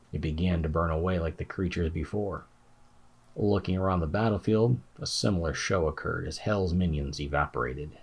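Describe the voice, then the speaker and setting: A man's voice: rumbling low, husky. A man reading scripted fantasy narration as an audiobook narrator.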